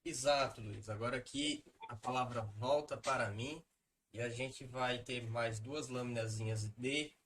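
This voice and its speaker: A man with a Brazilian accent delivers an academic presentation at a middling pitch. His wording is formal, broken by hesitant pauses. Faint noise sits behind the voice. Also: fairly even intonation, a bit bright.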